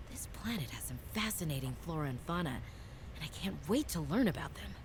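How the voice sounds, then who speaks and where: A woman's voice: low-pitched, darkish tone, a little hiss or hum. A woman acting a wry, determined scientist explorer in a video game, in a conversational inner monologue. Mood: keen.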